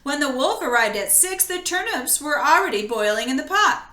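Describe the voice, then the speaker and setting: A woman's voice: high-pitched, normal speed. A woman reading a children's story aloud expressively, speaking straight to her listeners, in an echoey room. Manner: animated, addresses listeners directly. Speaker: storybook reader.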